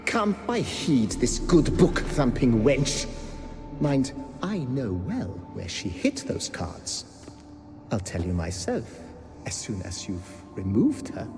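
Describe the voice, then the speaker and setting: A man's voice: medium pitch, very animated, slow, over music. An actor playing a bitter, sarcastic dead nobleman and gambler in a video game, exasperated and theatrical. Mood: sneering, sly. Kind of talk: video game dialogue acting. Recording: long hall reverb.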